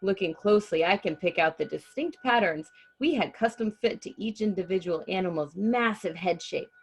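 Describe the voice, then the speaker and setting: A woman's voice: medium pitch, normal speed, breathy edge. A woman reading a children's story aloud expressively and chatting casually as host.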